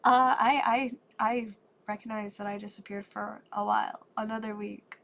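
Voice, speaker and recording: A woman's voice: mid-range voice, fairly even intonation, moderate pace, gravelly, fairly bright tone. A woman chatting on a live stream while opening trading cards, a little congested and still getting her voice back, upbeat and rambling. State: congested, voice not fully recovered. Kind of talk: casual live-stream chat.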